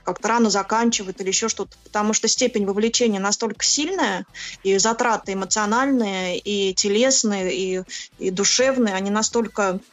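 A woman answering as an interview guest in a conversational, expressive way, explaining with examples and repeated words. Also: medium pitch, brisk, faint music behind. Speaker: interview guest, actress and film producer.

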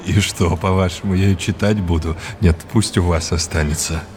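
An actor playing an erudite, middle-aged art historian and mentor, lecturing with gentle irony and old-fashioned courtesy in an audio drama. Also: male voice, low-pitched, steady tone, moderate pace, slight background noise, close to an everyday voice. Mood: playful, wry. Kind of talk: naturalistic audio drama acting.

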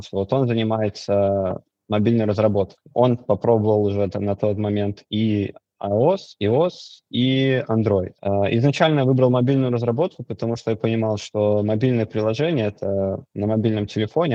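A man in his twenties from Belarus answers interview questions casually. His voice is dark, relaxed and a little low. The sound is very dry and slightly muffled. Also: dry recording. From Vitebsk region, Belarus. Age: 20s. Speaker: interview guest, Android developer.

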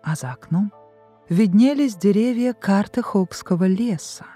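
Woman, fairy-tale narrator, soft dark tone, expressive, measured, background music. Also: medium pitch. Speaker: storyteller, voice artist. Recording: music behind the voice.

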